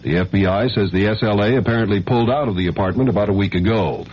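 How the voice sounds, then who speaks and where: A man's voice: fairly low pitch, fast talker, breathy edge. American male radio newsreader, muffled recording. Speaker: radio news anchor. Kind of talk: scripted hourly news bulletin. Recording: dull, faintly noisy 1970s radio broadcast.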